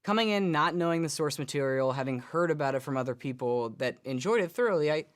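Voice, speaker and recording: A man's voice: fairly high pitch, lively intonation, quick pace. A man chatting casually as a panelist in a movie review discussion.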